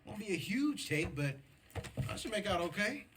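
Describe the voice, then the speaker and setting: High-voiced, eager young outlaw. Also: male voice, very animated, moderate pace, a bit bright, a little hiss or hum. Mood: defensive, eager. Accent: American. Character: eager, defensive young male Old West outlaw. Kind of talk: video game dramatic acting.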